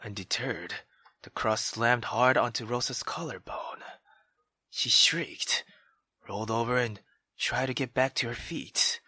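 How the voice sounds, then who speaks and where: A woman reading a visual novel aloud as a let's player, in an expressive, dramatic manner. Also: rumbling low, a little slow, slightly rough, dry recording.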